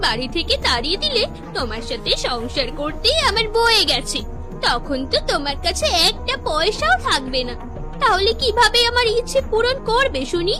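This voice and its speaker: A woman's voice: very high-pitched, sing-song, moderate pace, over music. A woman voicing a scheming, greedy young wife in a cartoon, dramatic and whiny, wheedling and haughty. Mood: scornful. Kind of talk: cartoon voice acting.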